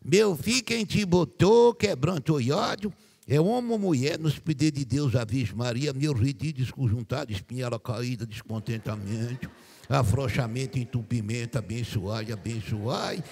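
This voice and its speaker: A man voicing a cackling old country woman folk healer, chanting blessings and shouting exclamations on a live comedy stage. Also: mid-range voice, normal speed, vocal fry, slightly rough, long reverb tail.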